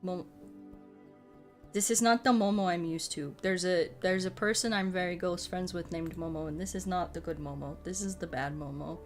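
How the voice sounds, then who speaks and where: A woman's voice: lowish voice, moderate pace. A woman livestreamer chatting casually in a playful manner, often repeating her phrases for comic effect. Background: background music.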